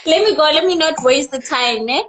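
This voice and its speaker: A woman with a high voice joins the chat from her phone. She answers in short, relaxed and friendly replies. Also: brisk. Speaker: guest, makeup artist and flight attendant. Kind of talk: casual live-stream chat. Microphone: phone on a video call.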